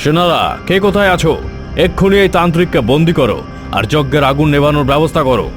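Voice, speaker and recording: A man's voice: a little high, quick pace, lightly acted. Man as a protective king, music behind. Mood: stern, commanding. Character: protective, cautious king and father, commanding. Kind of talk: cartoon fairy tale voice acting.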